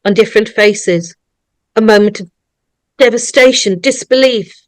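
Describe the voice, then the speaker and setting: A woman's voice: mid-range voice, moderate pace, dry recording. A woman narrating at a script table read, reading out screenplay stage directions.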